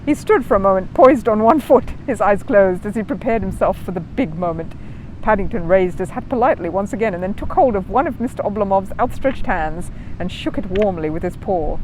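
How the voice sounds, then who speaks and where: A woman reading a children's story aloud in an expressive, animated way. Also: medium pitch, a little fast, a little hiss or hum, low-quality recording. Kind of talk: expressive scripted narration.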